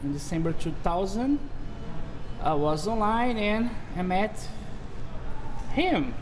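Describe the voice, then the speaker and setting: A man's voice: high-pitched, animated, slow pace. A man in his forties from Brazil, speaking English with a Brazilian Portuguese accent, chatting casually and laughing often as co-host. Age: 40s. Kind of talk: casual chat. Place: shopping mall under renovation. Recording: roomy mall with renovation noise.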